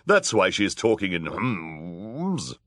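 A man voicing a villainous woman, very low-voiced. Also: big pitch swings, slow, a bit bright, no room sound, clean studio sound, exaggerated voice. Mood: menacing. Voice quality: low, deep. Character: villainous woman with hair but no beard, low deep voice.

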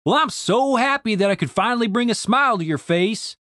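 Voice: mid-range voice, very animated, moderate pace, fairly bright tone, no echo, clean studio sound, pitched above the performer's own voice. A narrator voicing a big young man, sardonic and exasperated, with a casual grumbling manner, as audiobook dialogue.

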